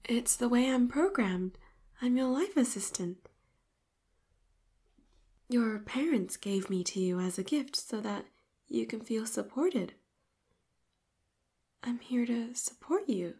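A devoted young robot girl with a possessive streak, played by a woman in an audio roleplay. She murmurs in a breathy, slightly high half-whisper. She takes her time, lingering over each word as she dotes on her Master. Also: lively intonation, slow, long pauses, darkish tone, full character voice. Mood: tender. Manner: doting, speaks to listener as Master. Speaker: voice actor.

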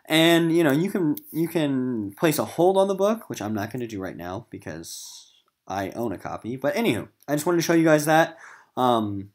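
A man's voice: medium pitch, sing-song, moderate pace. A man speaking as a middle school literature teacher, giving an informal recorded lesson in a casual, conversational way straight to his students. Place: voice-over on a screen recording of slides.

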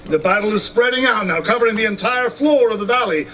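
A man's voice: high voice, fast, bright, forceful tone. A man playing a courteous, curious battlefield commentator in a live historical reenactment, with a formal announcer-like delivery. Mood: expectant, narrating. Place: outdoor stone amphitheater in open scrubland. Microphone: stand mics, recorded from a distant camera. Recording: distant outdoor recording, faint ambient noise.

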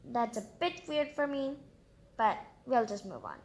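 A woman's voice: on the high side, wildly swooping pitch, measured pace, a little mellow. A woman reading a children's storybook aloud expressively, breaking off for chatty, exclamatory asides of her own. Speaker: storybook reader and channel host.

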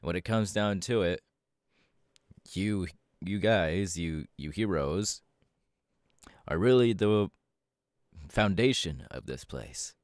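A man playing a proud, laid-back superhero mentor in an ASMR audio roleplay, talking casually with drawling, hesitant pauses. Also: low voice, animated, no room sound, clean studio sound. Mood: casual, explaining.

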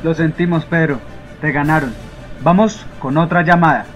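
A laid-back young radio co-host played by a man with a Colombian accent chats casually and teasingly in a comic drama. His voice sits a little high, with music behind it. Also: steady tone, normal speed, dull audio, lightly acted. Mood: casual, friendly. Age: young adult.

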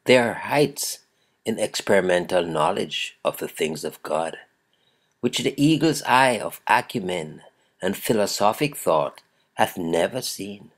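A man with a croaky voice giving a daily devotional, chuckling often and reading scripture aloud with emphasis. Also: low-pitched, animated, measured pace. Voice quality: gravelly. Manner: chuckles often between phrases. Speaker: devotional host.

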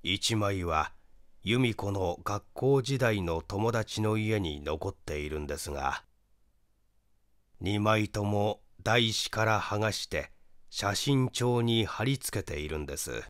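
A man playing a grave, regretful brother who explains in a measured, halting way, in a story reading. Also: fairly low pitch, lively intonation, a bit bright, dry recording, clean studio sound. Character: grave, regretful brother recounting a family mystery.

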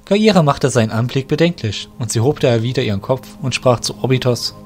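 A man's voice: mid-range voice, animated, brisk, soft background music. A man narrating a scripted fantasy audio drama.

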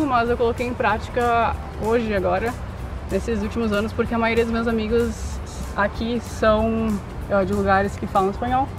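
A young woman of eighteen talks in an easy, unhurried way, stopping now and then to find her words. She is outdoors, picked up by a nearby camera mic, with music running under her voice. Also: on the high side. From Brazil. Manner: relaxed, thinks aloud with pauses. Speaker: vlog co-host. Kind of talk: casual chat. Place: outdoors in an open grassy field. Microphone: camera mic at arm's length.

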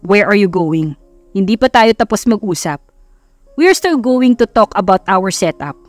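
A woman's voice: medium pitch, expressive, normal speed, no room sound, faint music behind, lightly acted. A Filipino woman voicing a cocky, sarcastic young billionaire playboy who taunts, in an audiobook romance. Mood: firm. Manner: taunting, code-switching into English.

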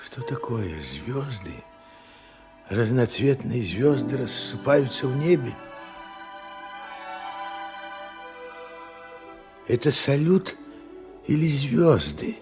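An actor playing a wistful, bewildered old grandfather in a Soviet radio drama, halting, wondering and emotional, with a gravelly voice. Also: medium pitch, animated, music in the background. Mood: dazed, wondering. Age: older.

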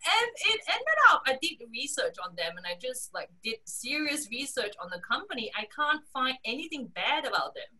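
A woman in her forties, raised in Singapore, telling her career story as a guest on a video call, animated and quick to laugh. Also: distant mic, low-quality recording. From Malaysia, raised in Singapore. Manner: animated storyteller, laughs often, lively pitch swings. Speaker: guest, strategy consultant. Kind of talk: casual video-call interview.

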